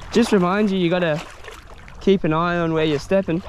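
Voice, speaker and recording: A young Australian man excitedly narrating while wading and fishing in mangrove shallows outdoors, laughing often and talking in loose slang. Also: high-pitched, normal speed, a little hiss or hum. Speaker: fishing vlogger. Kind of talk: casual on-the-spot narration.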